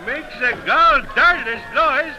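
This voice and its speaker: An actor playing an excitable, folksy American townsman, exclamatory, in 1930s radio drama over noisy outdoor sound effects. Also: extremely high pitch, takes it slowly, lo-fi sound, music in the background. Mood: amazed.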